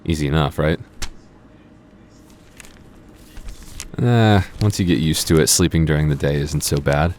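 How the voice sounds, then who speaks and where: A man playing a teasing, laid-back young vampire coworker on a store night shift, talking casually and a little haltingly in an intimate ASMR roleplay. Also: rumbling low, a little mellow. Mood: relaxed. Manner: hesitant, stammering, dryly teasing.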